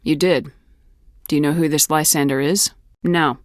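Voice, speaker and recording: A woman's voice: low-pitched, steady tone, normal speed, no echo, studio quality, lightly acted. A woman playing a tough, decisive space soldier in a video game, speaking with firm, clipped authority. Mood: matter-of-fact.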